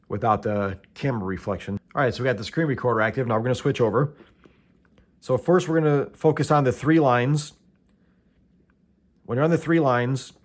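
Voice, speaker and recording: An American man hosting a casual product walkthrough, explaining step by step in a plain-spoken, matter-of-fact way. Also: medium pitch. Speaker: host of tool review and how-to videos.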